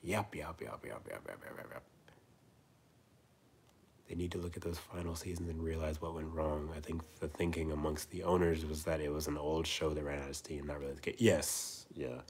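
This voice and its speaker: A man in his twenties hosting a casual livestream chat, rambling and repeating himself with soft trailing asides. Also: very deep voice, steady tone, normal speed, slight background noise. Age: 20s. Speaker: livestream host.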